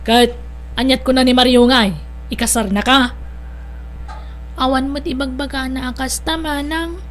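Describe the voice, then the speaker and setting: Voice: high-pitched woman playing meek young bride-to-be. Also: steady tone, moderate pace, soft background music, lightly acted. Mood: uncertain. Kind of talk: Ilocano audio drama acting.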